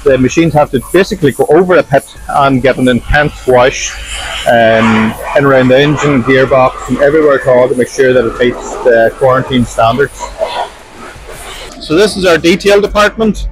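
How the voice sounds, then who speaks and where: A man's voice: medium pitch, moderate pace, slight background noise, rough recording. A middle-aged man, explaining a large industrial workshop step by step as he walks through it. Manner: plain, practical, explaining step by step. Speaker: workshop manager giving a tour. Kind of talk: walking tour explanation.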